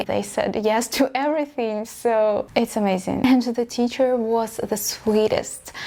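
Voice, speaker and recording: A woman's voice: mid-range voice, a little mellow. A woman in her late thirties whose first language is Russian, sharing her personal story in an earnest, reflective vlog. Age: late 30s. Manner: earnest, reflective, with small grammar slips. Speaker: vlogger and model coach.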